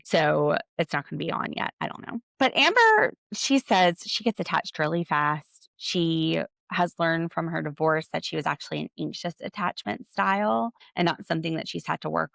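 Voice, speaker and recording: A woman speaks in a fairly low voice thick with creaky fry. She delivers casual, opinionated commentary like a podcast host, with a sardonic edge. The sound is dry, with very little room around it. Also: fairly bright tone, no room sound. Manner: opinionated, sardonic, quotes others conversationally. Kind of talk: casual solo TV recap commentary.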